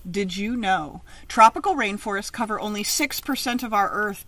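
A middle-aged woman reads a children's picture book aloud outdoors, with expressive, emphatic, sing-song phrasing. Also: mid-range voice. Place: outdoors in a leafy garden.

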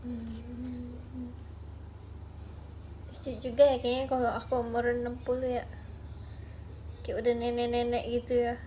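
A woman in her twenties chatting playfully on a late-night livestream from her bedroom, talking into a phone held at arm's length, sometimes dropping to soft murmurs. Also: a little high, completely flat delivery, a little slow, long pauses, a little hiss or hum, poor audio. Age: 20s. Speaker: idol livestreamer.

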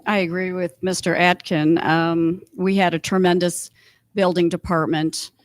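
Firm, lowish-voiced woman candidate. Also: flat delivery, unhurried. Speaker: mayoral candidate. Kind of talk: answering a question at a public candidate forum.

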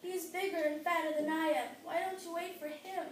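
Little plucky goat kid played by a woman, extremely high voice, children's play, echoing distant pickup. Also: fairly even intonation, normal speed, slight background noise. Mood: polite, pleading. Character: small, skinny, hungry, fearless young billy goat. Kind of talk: children's fairy-tale play. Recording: echoey room, far from mic.

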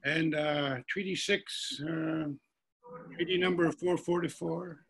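A man's voice: a little high, steady tone, takes it slowly, creaky, a bit bright, poor audio. An older man in his sixties, chatting casually as a guest on a video call. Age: 60s. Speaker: actor and storyteller guest. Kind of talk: casual chat. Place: living room at home. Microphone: laptop on video call.

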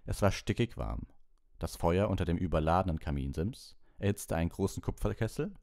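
A man reading an audiobook, telling the story in measured, expressive literary narration. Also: sits low, creaky, dry recording, studio quality.

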